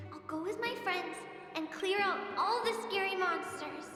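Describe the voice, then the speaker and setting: A woman voicing a sickly little girl who writes to her hero, earnest and wistful, sighing and laughing softly. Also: extremely high pitch, unhurried, exaggerated voice. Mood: dreamy. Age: child figure. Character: sickly hopeful little girl fan, dreamy. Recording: echoey reverb with music behind.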